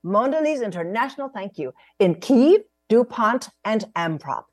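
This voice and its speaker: A woman hosting an interview, reading her guest's credentials in a measured, careful way over a video call. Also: a little high, big pitch swings, a little mellow, no room sound, clean studio sound. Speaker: host. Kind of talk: formal interview introduction.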